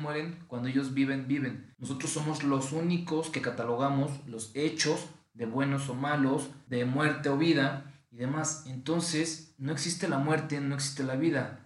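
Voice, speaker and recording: A man's voice: mid-range voice, roomy sound. A man with a Mexican Spanish accent, hosting a podcast and giving a reflective philosophical monologue, posing rhetorical questions and answering them himself. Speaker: podcast host.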